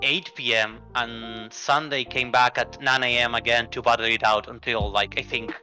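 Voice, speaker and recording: A man's voice: mid-range voice, fairly even intonation, normal speed, sharp tone, faint music behind. A man from Argentina, a card game player guesting in an interview, talking easily with frequent restarts and fillers. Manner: easygoing, restarts often, many likes and rights. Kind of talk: casual chat.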